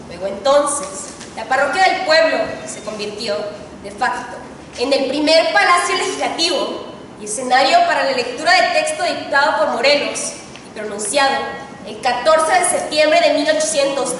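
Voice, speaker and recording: A young woman student with a Mexican accent giving a formal, solemn oratory speech with emphatic delivery and dramatic pauses. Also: high-pitched, fairly bright tone. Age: teens to young adult. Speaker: student contestant in an oratory contest. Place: large reverberant indoor hall. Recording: echoey hall, distant mic, faint noise.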